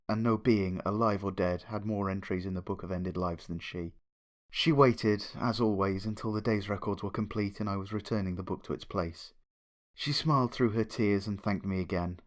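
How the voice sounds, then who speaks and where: A man's voice: deep voice, normal speed. A man reading a story aloud as a dramatic, scripted first-person narration. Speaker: fan fiction reader, narrator.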